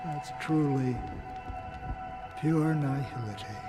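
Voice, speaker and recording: An actor playing a brooding, sardonic warrior in a video game, with measured delivery and deliberate pauses. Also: male voice, medium pitch, music in the background, natural voice. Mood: reflective. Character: brooding, sardonic male warrior, worldly and unhurried. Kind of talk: dramatic video game dialogue.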